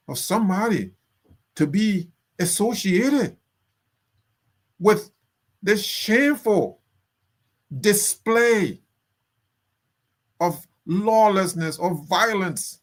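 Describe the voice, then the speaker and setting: Indignant Liberian man, slow and emphatic. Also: sits high. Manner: indignant, emphatic, repeats phrases for force. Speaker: political commentator guest. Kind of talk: impassioned political commentary.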